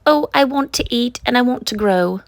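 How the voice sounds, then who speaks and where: A woman's voice: a little high, lively intonation, moderate pace, no room sound, voice pushed up. A woman voicing a fussy, stubborn little girl who complains emphatically in a children's picture book. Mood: pleading. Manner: emphatic, complaining, rhyming protests.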